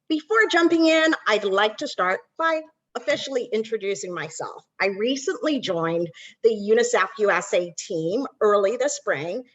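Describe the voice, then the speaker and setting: A woman's voice: medium pitch, a bit bright, dull audio. A woman from Barbados, a nonprofit executive, giving an earnest webinar welcome with frequent ums.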